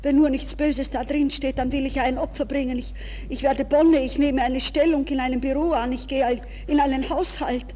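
A woman's voice: high voice, steady tone, brisk, mellow, long reverb tail, slight background noise, close to an everyday voice. An actress playing a desperate, dreamy young woman from a good Viennese family in a theatrical interior monologue for a German radio play. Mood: anxious, pleading.

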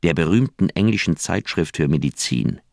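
Middle-aged doctor, very low voice, earnest and wordy, persuasive loyal friend, dry close radio drama sound. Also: male voice, animated, moderate pace, vocal fry, dry recording. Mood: eager, casual. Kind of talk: German radio crime drama acting.

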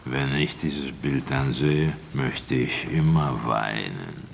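Haughty, wistful middle-aged Russian aesthete, radio thriller. Creaky, drawn-out, theatrical phrasing, faint ambience. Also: male voice, very deep voice, a little hiss or hum, full character voice. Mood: rapt, wistful. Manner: slow, theatrical, disdainful. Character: wheezy, melancholy, haughty middle-aged Russian art lover. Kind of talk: German radio crime drama dialogue.

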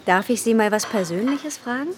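An actress playing a direct, inquisitive young waitress in a natural-sounding German radio drama. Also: female voice, on the high side, animated, a little fast, background noise, natural voice. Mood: chatty, curious. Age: young adult.

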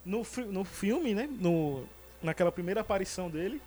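A man's voice: sits high, expressive, moderate pace, dark tone. A man hosting a casual podcast about films, talking with many fillers and restarts. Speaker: podcast host. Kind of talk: casual movie chat.

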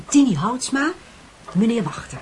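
An actress playing a sociable middle-aged hostess in a radio drama, genteel and chatty. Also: female voice, on the high side, big pitch swings, normal speed, slight background noise. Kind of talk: radio drama acting in Dutch.

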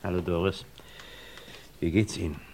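An actor playing a doctor who lays out theories in a calm, explanatory way in an old radio play. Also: male voice, sits low, moderate pace, background noise. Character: calm male doctor friend, probing theorist.

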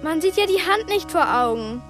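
A woman's voice: very high-pitched, expressive, normal speed, over music. An actress playing a plucky, curious twelve-year-old girl in a radio play, direct and insistent. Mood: anxious. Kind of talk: radio play acting and narration.

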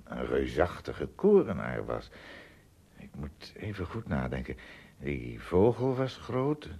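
A low voiced, really raspy traveller dude in a radio play, tiny and amazed, jumpy, muttering to himself. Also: male voice, mellow, full character voice. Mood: wondering. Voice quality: husky, gravelly. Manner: exclamatory, hesitant, repeating himself in wonder. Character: astonished, easily frightened male traveller, tiny among giants. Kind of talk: Dutch radio play acting, talking to himself.

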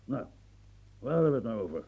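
A man's voice: medium pitch, big pitch swings, fast, creaky, background noise. An actor playing a blunt, irritable old marquis in his seventies with a cold, dictating in a coarse, rustic way. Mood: proud, grumbling. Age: 70s. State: snotty cold, coughing. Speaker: elderly marshal dictating. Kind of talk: radio drama acting.

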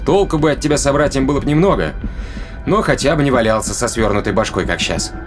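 A man's voice: medium pitch, animated, fast talker, music in the background, close to an everyday voice. A man playing a tough, sardonic spaceship crewman in an audio drama, questioning a captive in pointed, colloquial speech. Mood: mocking.

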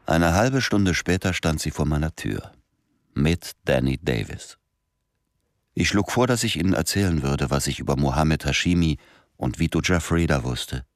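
A man's voice: a bass voice, expressive, moderate pace, no room sound, studio quality. An actor playing an exhausted, suspicious former agent who tells his story in a restrained, brooding way in a radio drama. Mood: brooding, weary. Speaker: narrator and lead character. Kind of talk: radio drama narration and dialogue.

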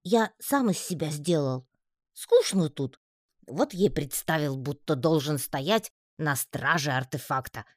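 A woman's voice: sits low, animated, dry recording, clean studio sound. A woman voicing a tiny, lonely male spider who talks in a plaintive, pleading way for an audiobook. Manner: plaintive, pleading, quick to take offence. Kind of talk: audiobook reading.

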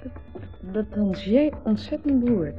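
An actress playing a tipsy, rambling, flirtatious wife in a Dutch radio drama, slurred and giggly. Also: female voice, fairly high pitch, expressive, a little slow. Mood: tipsy, teasing. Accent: Netherlands Dutch. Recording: dull vintage radio recording. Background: faint party music.

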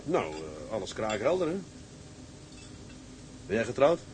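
An actor playing a wry, plain-spoken ship's mate in naturalistic drama dialogue, dry and colloquial. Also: male voice, on the low side, big pitch swings, a little slow, noisy background. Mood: dry, friendly.

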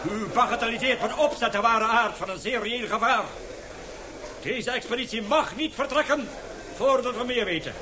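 A man's voice: extremely high pitch, moderate pace, background noise. A man acting an indignant, stubborn old professor in a radio play, agitated and declamatory. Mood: angry, alarmed. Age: older.

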